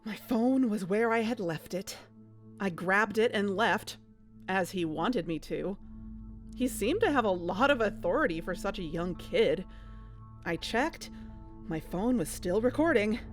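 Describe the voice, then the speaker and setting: Actress as a watchful woman investigator, uneasy, mid-pitched voice, first-person audio drama, music underneath. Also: expressive, measured pace, lightly acted. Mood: tense recounting. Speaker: narrator and lead character of an audio drama. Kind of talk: scripted first-person narration and dialogue.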